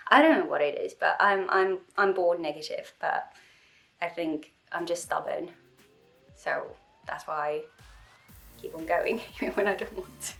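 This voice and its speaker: A young woman vlogging casually about her costume, rambling and self-deprecating with halting restarts, stuffy with a cold. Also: mid-range voice, a bit bright. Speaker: cosplay vlogger. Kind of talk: casual vlog talk.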